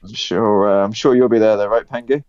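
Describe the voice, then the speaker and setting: A man's voice: lowish voice, steady tone, a little fast, a little mellow, no room sound. A man co-hosting a casual gaming podcast, giving wry, grumbling opinions. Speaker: podcast co-host. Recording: dull lo-fi mic, dry.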